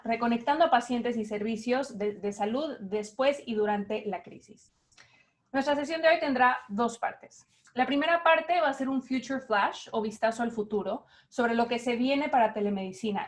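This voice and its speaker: A woman hosts an online session in a friendly way, often pausing on fillers. Her voice sits a little high. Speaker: moderator. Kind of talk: event moderation.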